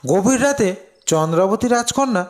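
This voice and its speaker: A man's voice: high voice, lively intonation, normal speed, faint music behind. A man narrating a scripted folktale as a storyteller.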